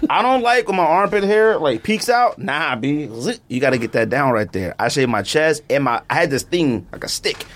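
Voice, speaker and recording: A middle-aged man hosts a casual podcast in a fairly high, lively voice that swings up and down. He laughs often as he tells his stories and keeps repeating that he's not joking. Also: normal speed, few pauses. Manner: animated, laughing storyteller, repeats "I'm not even joking". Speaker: podcast host. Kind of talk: casual chat with ad read.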